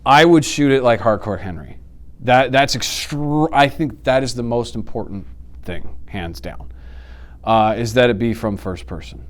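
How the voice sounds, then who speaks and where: A man in his thirties chatting casually as an interview guest, telling stories in an animated way and acting out reactions. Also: mid-range voice, a little slow. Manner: animated storytelling, acts out reactions and sound effects. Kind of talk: casual chat about films and games. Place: indoor office studio.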